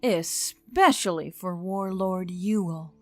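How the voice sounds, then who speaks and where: A man's voice: high voice, slow pace, a bit bright, no room sound, faint music behind, voice pushed up, heavily acted. A man voicing a tender, devoted woman, a warlord's lover, in an audiobook story. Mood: groggy, affectionate.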